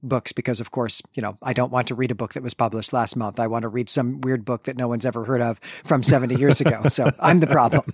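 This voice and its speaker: A man's voice: mid-range voice, fairly even intonation, fast, few pauses, husky, no room sound, studio quality. A middle-aged man co-hosting a podcast, giving a conversational literary recap in an enthusiastic, emphatic way. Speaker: podcast co-host. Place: basement room, close and dry.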